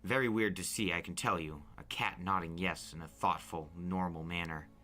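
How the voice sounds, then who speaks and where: A man reading a story aloud as narrator, with expressive, suspenseful, dramatic audiobook-style delivery. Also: sits low, normal speed. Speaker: narrator and reader. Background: music bed.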